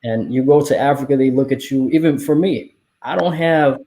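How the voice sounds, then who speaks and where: A man's voice: medium pitch, moderate pace, dark tone. A man speaking earnestly as a guest in a casual panel discussion, often checking in with "right?". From Somalia.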